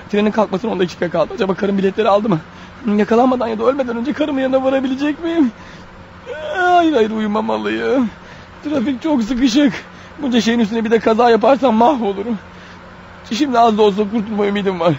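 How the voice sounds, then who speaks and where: A man's voice: very high voice, a little mellow. An actor playing a desperate, wounded killer on the run in a radio drama, agitated, paranoid and muttering to himself as his strength fails. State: weak, bleeding, fighting off sleep. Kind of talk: radio drama acting with inner monologue. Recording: dull radio recording with faint noise.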